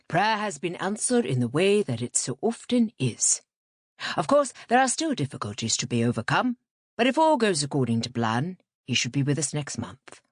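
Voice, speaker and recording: A woman's voice: mid-range voice, no room sound, studio quality. A woman voicing a genial, self-assured and slightly pompous male priest in an audiobook, with leisurely, unctuous emphasis. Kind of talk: audiobook character voice.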